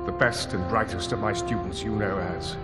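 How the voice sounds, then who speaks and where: An actor playing a solemn, idealistic older mentor in a video game, narrating in a measured, formal, earnest way. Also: male voice, fairly low pitch, fairly even intonation, over loud music, close to an everyday voice. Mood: grave, earnest.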